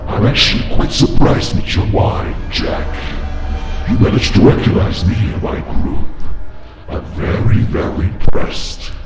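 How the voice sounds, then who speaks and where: A man playing a sinister vampire cult leader in a game roleplay, speaking in a slow, menacing, theatrical, breathy way. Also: on the low side, animated. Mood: smug, ominous. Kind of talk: amateur video game roleplay acting. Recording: echoey hall reverb with music.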